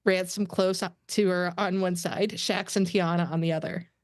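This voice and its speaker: A woman's voice: fairly low pitch, steady tone, normal speed, no room sound. Woman narrator with dry, close sound. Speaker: stage directions narrator. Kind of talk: scripted narration for a table read.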